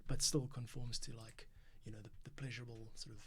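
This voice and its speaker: A man's voice: medium pitch, flat delivery, a little fast. A man hosting a casual music composition livestream, thinking aloud, counting beats and muttering to himself as he works.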